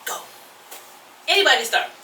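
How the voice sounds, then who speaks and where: Woman hosting a podcast, laughs a lot, bright voice, kinda echoey and far from the mic. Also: moderate pace, slight background noise. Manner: laughs often, lively and playful. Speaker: podcast host. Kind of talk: casual group chat. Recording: roomy echo, mic at a distance.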